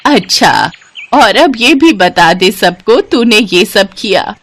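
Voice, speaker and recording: A woman acts out a poor old food-stall cook from a storybook tale. She is proud and shrewd, speaking at a middling pitch. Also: very animated, takes it slowly, exaggerated voice. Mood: stern.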